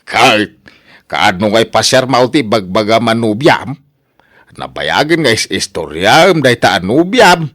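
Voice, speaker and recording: A man's voice: on the low side, big pitch swings, measured pace, a bit bright, dry recording, studio quality, heavily acted. An actor playing a nagging middle-aged father in a radio drama, with an Ilocano accent. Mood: nagging, curious. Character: nagging middle-aged father pressing his child to marry.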